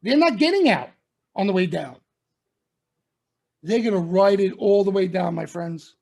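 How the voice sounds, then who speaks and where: A man's voice: high-pitched, expressive, measured pace, gravelly, a little mellow. Emphatic man lecturing traders. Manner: emphatic, repeats points, lectures and warns listeners. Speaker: stock trading commentator. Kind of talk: members-only market broadcast.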